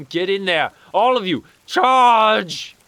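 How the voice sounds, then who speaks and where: An actor playing a pompous, cruel usurping lord in a fantasy audio drama, stammering when flustered. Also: male voice, sits high, expressive, extremely slow, pushed and bright, smooth, dry recording, full character voice, low-quality recording. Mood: furious.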